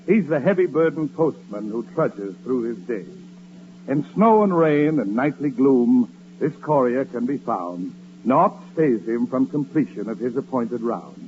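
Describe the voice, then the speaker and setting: An actor playing a pompous, hammy street poet, declaiming rhymes grandly in 1940s radio comedy style. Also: male voice, medium pitch, animated, a little slow, close to an everyday voice. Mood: solemnly declaiming. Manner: grandiose, theatrical, rhyming declamation. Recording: muffled, noisy vintage radio recording.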